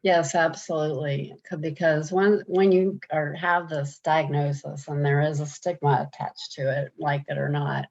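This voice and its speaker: A woman with a deep, gravelly voice answers interview questions in an earnest way. She often stops and restarts her sentences. The sound is a little dull and muffled, as on a video call. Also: fairly even intonation, normal speed, few pauses, darkish tone. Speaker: program participant.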